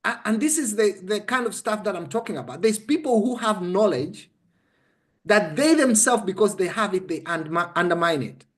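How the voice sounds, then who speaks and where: Ugandan male, high-pitched for a man. Conversational coach, instructive and emphatic, checks the listener follows. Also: animated, moderate pace. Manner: emphatic, instructive, checks understanding with short questions. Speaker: interview guest explaining a method.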